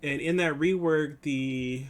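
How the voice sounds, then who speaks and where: A man's voice: fairly high pitch, slow pace. A man hosting a reaction video, commenting in a casual, slangy way. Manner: slangy, informal.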